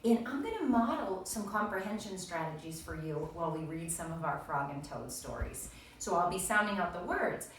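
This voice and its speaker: Woman, schoolteacher reading aloud to kids, very animated intonation, explanatory, recorded far from the mic. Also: on the low side. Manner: explanatory, addresses children directly as friends. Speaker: primary school teacher. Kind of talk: read-aloud reading lesson for young children. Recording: echoey room, distant mic, faint noise.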